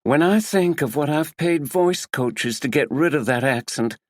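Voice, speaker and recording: A man's voice: on the high side, very animated, moderate pace, no echo, clean studio sound, pitched above the performer's own voice. A man putting on a vain, perky American actress with a drawl. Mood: breezy. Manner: breezy, dismissive, fussy.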